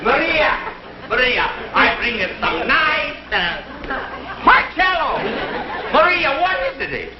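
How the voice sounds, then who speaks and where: An actor playing a melodramatic, jealous lover in a 1960s TV comedy sketch. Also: male voice, extremely high pitch, very animated, slow, a loud crowd, heavy background noise, exaggerated voice. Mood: pleading, jealous. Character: lovestruck, melodramatic, jealous Italian suitor in farce. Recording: muffled echoey 1960s TV soundtrack, music, audience.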